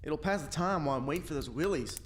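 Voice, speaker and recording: Naive young lad for comic radio drama, played by a man: higher-pitched, unbothered, simple-minded, faint noise behind. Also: fast, lightly acted. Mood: guileless, eager. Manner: guileless, unbothered. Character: naive, fearless, cheerful simple-minded boy hero. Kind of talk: comic radio play acting.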